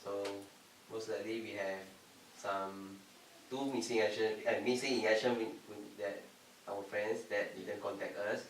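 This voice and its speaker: Young man, low voice, leads the discussion, steady explaining tone, roomy and distant. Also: normal speed. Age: young adult. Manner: steady, explaining, invites others to speak. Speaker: group discussion leader. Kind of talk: casual student discussion. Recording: roomy, distant, faint hiss.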